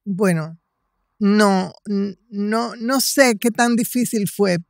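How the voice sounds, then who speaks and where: A woman's voice: medium pitch, slow pace, gravelly, no room sound. Dominican woman in her sixties, dry close sound. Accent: Dominican Spanish. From Dominican Republic. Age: 60s. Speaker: podcast guest, writer and cultural promoter. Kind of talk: casual conversational storytelling.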